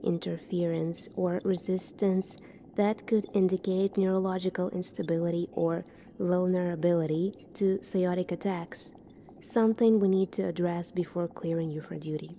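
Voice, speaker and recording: A woman in a soft-spoken ASMR roleplay, playing a calm, formal military doctor in a measured, clinical manner. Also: fairly low pitch, a little hiss or hum.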